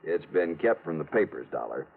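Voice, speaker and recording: Deep-voiced young doctor, old radio. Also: male voice, big pitch swings, moderate pace, creaky, slightly rough, exaggerated voice. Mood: grave. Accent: American. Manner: earnest, precise. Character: grave, earnest young small-town male doctor. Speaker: actor in a radio detective drama. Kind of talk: old-time radio drama acting. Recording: tinny vintage radio recording.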